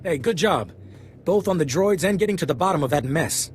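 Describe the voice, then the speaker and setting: An actor playing a breezy, irreverent soldier in scripted game dialogue. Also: male voice, a little high, lively intonation, brisk, faint music behind, natural voice. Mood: offhand.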